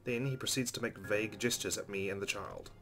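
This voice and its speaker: A low-pitched man narrates a visual novel at a brisk clip. He reads expressively as a let's play host, with music playing underneath. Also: vocal fry, a bit bright. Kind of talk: expressive reading of story narration. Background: game music.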